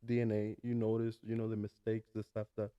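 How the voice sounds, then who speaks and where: A man in his early thirties from Mexico with a Mexican Spanish accent, a guest talking casually in an interview with many pauses and restarts. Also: fairly low pitch, monotone, warm tone, clean tone, highs cut off. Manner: hesitant, many fillers and restarts. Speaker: guest, food truck owner.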